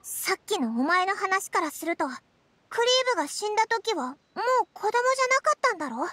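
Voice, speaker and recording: A woman voicing a tiny, blunt, excitable fairy companion in an anime game, with a squeaky childlike voice. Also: brisk, dry recording, heavily acted. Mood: puzzled, curious. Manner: blunt, inquisitive, cutesy rough speech. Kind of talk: Japanese anime game voice acting.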